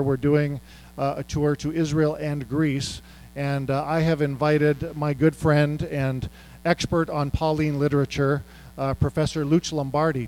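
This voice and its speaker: A man's voice: fairly high pitch, a little mellow, poor audio. A middle-aged man speaking as a guest ministry speaker to a church, chatty and self-deprecating with many fillers. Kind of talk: casual church talk. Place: church sanctuary.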